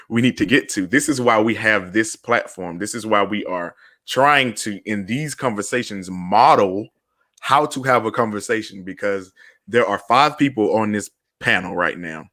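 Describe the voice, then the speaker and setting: A man moderating a panel discussion as host, explaining deliberately and with emphasis. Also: lowish voice, sharp tone, no room sound, studio quality.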